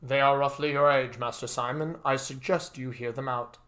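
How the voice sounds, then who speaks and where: Man acting a dutiful manservant, calm, formal, courteous, measured phrasing, story dialogue. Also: medium pitch, lightly acted. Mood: firm, courteous. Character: devoted, formal male butler, calm and dutiful.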